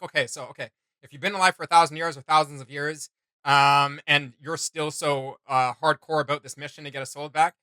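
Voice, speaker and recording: A man's voice: a little high, pushed and bright, no room sound. A man hosting a reaction video, giving casual commentary in a blunt, mocking, slangy way.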